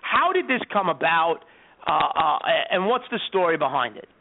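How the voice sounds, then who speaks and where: A man's voice: fairly high pitch, sing-song, normal speed, vocal fry. A man in his thirties hosting a fantasy sports radio show, rambling and repeating words as he talks. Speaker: host. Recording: tinny phone-like sound.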